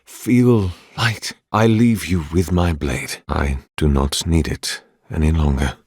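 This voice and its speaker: A devout, scholarly priest from a fantasy video game, weary yet courteous. His voice sits on the low side and moves very slowly, with formal, archaic phrasing and a thoughtful, reverent manner. The sound is close and dry. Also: no echo, full character voice. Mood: weary, resigned.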